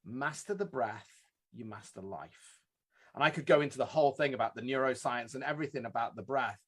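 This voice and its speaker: Man hosting a podcast, husky voice, mid pitch, earnest and quick. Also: a bit bright. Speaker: podcast host. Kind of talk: podcast interview.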